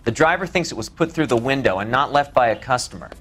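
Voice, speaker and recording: An actor playing a police investigator in a game, briefing colleagues in a matter-of-fact, precise way. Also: male voice, medium pitch, animated, fast talker, a bit bright, slight background noise, lightly acted. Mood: businesslike.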